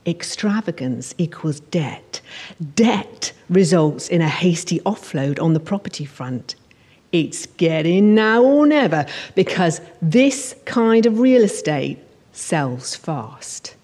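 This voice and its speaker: A woman with a slightly low voice reads her own crime story from a stage. She delivers it as an expressive storyteller, in the first person, as a writer at a live literary reading. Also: slow, slightly rough. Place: on stage at a live showcase event in a hall.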